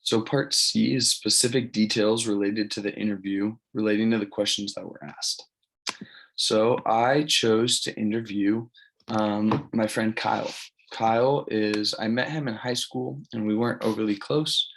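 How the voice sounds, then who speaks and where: Young california guy doing a class presentation, lowish voice, pretty monotone, plain and casual. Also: measured pace, creaky, low-quality recording. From California, United States. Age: early 20s. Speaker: college student presenting an assignment. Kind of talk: informal spoken presentation.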